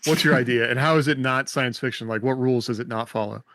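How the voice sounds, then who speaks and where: A man's voice: mid-range voice, fast talker, a bit bright, no room sound. Middle-aged man, dry close sound, thinking aloud. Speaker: podcast co-host. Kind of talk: casual panel chat about writing fiction.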